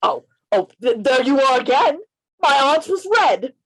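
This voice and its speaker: A woman playing a bold gentleman adventurer in a radio play, narrating his tale in an emphatic, theatrical way. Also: high-pitched, big pitch swings, a little slow, exaggerated voice, low-quality recording. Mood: mock indignant. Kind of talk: radio play reading, first-person narration. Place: remote reading over a video call.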